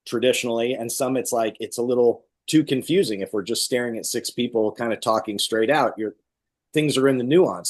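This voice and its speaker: Man giving casual, explanatory interview answers. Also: on the low side. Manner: explanatory, illustrating with acted-out quotes. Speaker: stage actor and guest.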